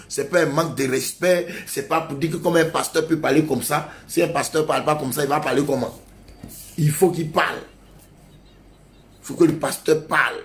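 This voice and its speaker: A male pastor preaching a sermon, urging his congregation with emphatic, repetitive exhortations. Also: fairly high pitch.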